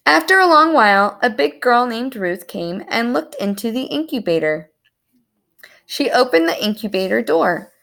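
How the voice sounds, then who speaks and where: A woman reading a story aloud to young children in an animated, expressive storytelling manner. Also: a little high, normal speed, gravelly. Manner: animated, explains words to young listeners.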